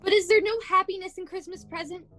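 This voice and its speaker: A woman's voice: very high voice, steady tone, quick pace, fairly bright tone. A woman playing a frightened miser in a radio play, pleading dramatically and emphatically. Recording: home video call recording with music.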